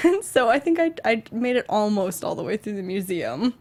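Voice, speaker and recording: A woman with an American accent chats casually as a talk show host. Her voice sits at a medium pitch, and she tells gaming stories haltingly, repeating phrases for emphasis. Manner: animated storyteller, halting, emphatic repetition. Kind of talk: casual chat about gaming experiences.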